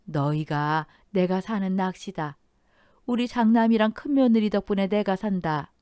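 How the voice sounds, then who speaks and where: Woman reading older mother-in-law, soft-hearted, doting, a lift above narrator's pitch. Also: moderate pace, clear, resonant tone, dry recording, clean studio sound, lightly acted. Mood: grateful. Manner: kindly, soft-spoken.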